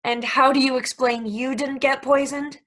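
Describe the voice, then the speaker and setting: A woman's voice: sits high, little pitch movement, moderate pace, fairly bright tone. A woman playing a scheming, eager young plotter in a table reading of a stage play. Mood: hesitant, scheming.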